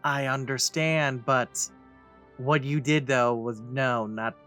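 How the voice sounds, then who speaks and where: Low-key man doing gameplay commentary. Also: fairly even intonation, slow, bright, forceful tone, faint music behind. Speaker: let's play creator.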